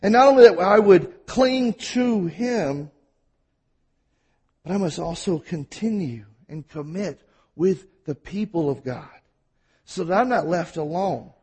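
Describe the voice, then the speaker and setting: A man with a Mississippi accent preaching a sermon, telling stories and at times talking fast. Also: high-pitched, expressive, darkish tone, husky. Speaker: pastor.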